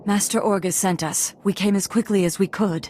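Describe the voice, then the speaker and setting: An actress playing a composed, serious female Jedi master in a video game, speaking formally and calmly. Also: mid-range voice, over music, close to an everyday voice. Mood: concerned. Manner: formal, calm, measured. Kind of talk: video game cutscene acting.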